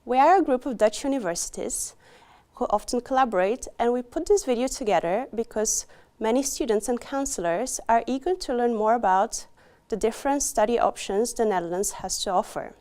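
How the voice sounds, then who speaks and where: A woman's voice: on the high side, a little fast, a little mellow. A woman reading a polite, welcoming scripted introduction for a group of universities.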